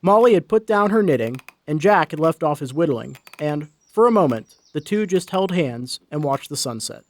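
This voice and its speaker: Animated male storyteller, higher pitched. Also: moderate pace, few pauses. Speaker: storyteller, narrator. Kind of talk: dramatic scripted story narration.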